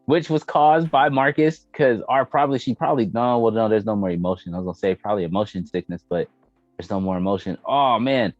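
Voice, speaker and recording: A man's voice: lowish voice, fast talker. An American man hosting a casual chat about entertainment, talking in an emphatic, slangy, profane and opinionated way. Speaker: podcast host. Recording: dull lo-fi roomy audio, faint music behind.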